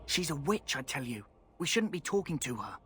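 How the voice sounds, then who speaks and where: A man's voice: a little high, big pitch swings, brisk, a bit bright, slightly rough, faint music behind, full character voice. An actor voicing a nervous, superstitious young male recruit who frets about witches. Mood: fearful. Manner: nervous, fretful.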